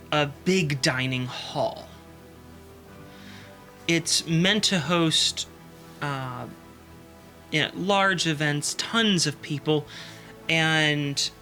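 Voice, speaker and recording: A man narrating a tabletop game, his voice bright and a little high. He sounds sniffly and describes each room with halting fillers. Faint music plays underneath. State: sniffly from allergies. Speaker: game master. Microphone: desk microphone.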